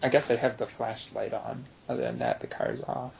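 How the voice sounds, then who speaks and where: A man's voice: mid-range voice, little pitch movement, normal speed, creaky, a little mellow, slight background noise. A man playing a tabletop game, casually describing his character's actions, on a dull mic. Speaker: player.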